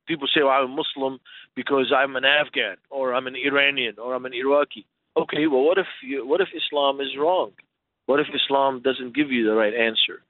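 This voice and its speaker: Tinny phone-like audio, male non-native English speaker, conversational teaching, rhetorical questions, mid-pitched. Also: no room sound. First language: not English. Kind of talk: conversational teaching in a radio interview. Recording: narrow, tinny phone-like line.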